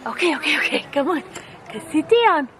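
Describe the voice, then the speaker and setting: Woman, thirties, American accent, high voice, informal rambling vlog chat, noisy home-video recording. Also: animated, a little fast. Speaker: family vlogger and mother. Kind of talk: casual chat to camera.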